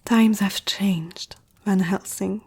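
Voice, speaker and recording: A woman playing an ancient, powerful vampire aristocrat in an ASMR roleplay, poised, intimate and seductive, speaking in a breathy half-whisper. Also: mid-range voice, steady tone, slow, darkish tone. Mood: measured, persuasive.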